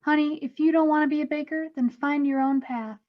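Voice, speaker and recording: A woman acting as the ghost of a proud, loving mother in an animated comedy, emphatic in manner. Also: high voice, brisk, muffled recording, natural voice. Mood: firm, motherly. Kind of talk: animated comedy table read.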